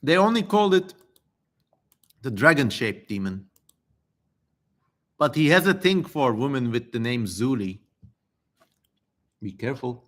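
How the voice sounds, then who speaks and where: A man's voice: medium pitch, big pitch swings, unhurried, pauses often, fairly bright tone. A man hosting a casual livestream, reading aloud and commenting in a wry, playfully theatrical way. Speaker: livestream host.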